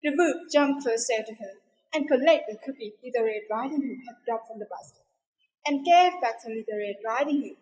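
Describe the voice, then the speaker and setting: A woman's voice: on the high side, brisk, a little mellow, poor audio. A woman, a native Thai speaker and student storyteller, narrating a fairy tale in a dramatic style.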